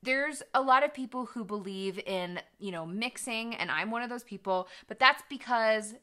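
A woman's voice: a little high, expressive, normal speed, bright, forceful tone. A young woman, a voice teacher and co-host, thoughtfully explaining vocal technique in casual chat on a couch. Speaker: voice teacher and reaction video co-host. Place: living room studio, seated on a couch.

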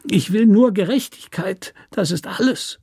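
An actor playing a hard, resentful convict in a radio play, with a nasal, whining, hissing voice that pleads and threatens. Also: male voice, high voice, a little slow, creaky, warm tone, slightly rough, no room sound, clean studio sound, voice pushed up, full character voice. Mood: bitter whining. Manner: pleading, aggrieved, snarling threats. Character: hard, lean male convict, resentful, cornered, whining and menacing.

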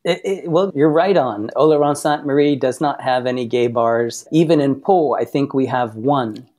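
A man's voice: mid-range voice, moderate pace, darkish tone. A man from Massachusetts, a guest on a podcast, telling stories in an easygoing, talkative way. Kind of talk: casual interview chat.

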